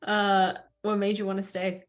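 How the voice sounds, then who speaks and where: A woman's voice: medium pitch, completely flat delivery, moderate pace. A woman interviewing a colleague, asking questions in an encouraging, affirming way. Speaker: head of coaching, interviewer.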